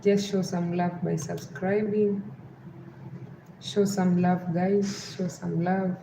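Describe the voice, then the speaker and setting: A woman's voice: on the low side, flat delivery, slow, gravelly. Kenyan woman livestreaming in an echoey room. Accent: Western Kenyan. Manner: repeats pleas to subscribe over and over. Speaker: livestream host. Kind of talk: casual livestream chat. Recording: echoey room with background noise.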